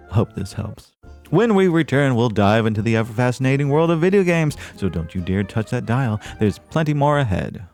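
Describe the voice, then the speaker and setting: A man playing a genteel, earnest podcast host, speaking scripted lines in a formal, florid, measured way. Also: medium pitch, darkish tone, lightly acted. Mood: gentle, solemn.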